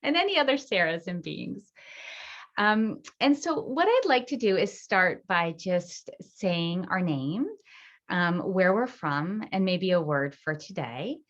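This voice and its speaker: A woman hosting an online group circle as an intuitive guide, speaking softly and hesitantly with many ums. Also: lowish voice, lively intonation, unhurried, dull audio. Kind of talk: gentle group circle facilitation. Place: online video call.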